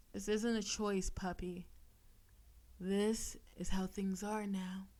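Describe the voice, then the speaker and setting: Slow, possessive demon girlfriend, voiced by a woman. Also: medium pitch, close to an everyday voice. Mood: possessive, tender. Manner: slow, teasing, softly commanding, lapsing into breathy whispers. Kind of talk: intimate audio roleplay addressed to the listener.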